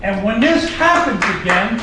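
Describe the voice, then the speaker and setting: Brief: middle-aged New man, fired up and halting, high voice, far off in an echoey hall. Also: lively intonation, very noisy. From United States. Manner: rambling, repeats himself, passionate and halting. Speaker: audience member asking a question. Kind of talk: emotional question from the floor at a symposium. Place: large conference hall.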